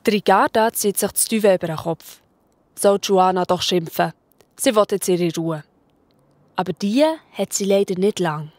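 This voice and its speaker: A woman's voice: medium pitch, moderate pace, a little mellow. A woman with a Swiss accent narrating a children's story in a colloquial style.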